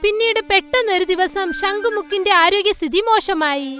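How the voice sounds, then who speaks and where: A woman's voice: extremely high pitch, moderate pace, bright, forceful tone. A woman narrating a scripted children's fairy tale as storyteller. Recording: faint music under the voice.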